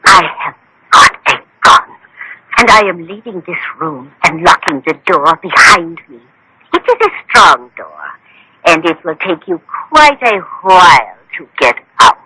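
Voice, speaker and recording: A very noisy old radio recording carries a swooping, animated voice. a proud, wily female fortune teller in a radio mystery, formal, haughty and full of menace. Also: lowish voice, a little slow. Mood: threatening.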